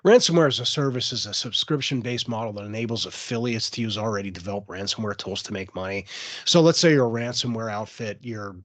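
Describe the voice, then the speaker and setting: Slightly hoarse man giving a webinar. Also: lowish voice, expressive, fast talker, fairly bright tone, dry recording. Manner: explanatory, with frequent fillers and restarts. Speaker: cybersecurity presenter. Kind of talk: webinar presentation.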